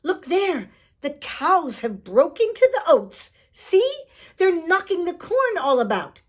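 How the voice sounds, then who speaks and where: A woman's voice: extremely high pitch, very animated, slow, voice pushed up, exaggerated voice. A woman voicing a tiny old male leprechaun, crotchety and sly, with a scolding, folksy way of talking for a children's storybook. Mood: sharp, scolding. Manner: sharp, scolding, wheedling folksy phrasing.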